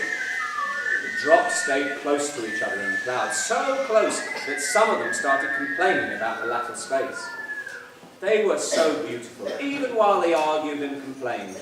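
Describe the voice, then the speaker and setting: Far-off man in an echoey hall. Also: fairly high pitch. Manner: animated storytelling, then offhand announcing. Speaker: event presenter. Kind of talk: reading a children's story aloud to an audience. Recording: echoey hall, distant mic, loud ambient noise.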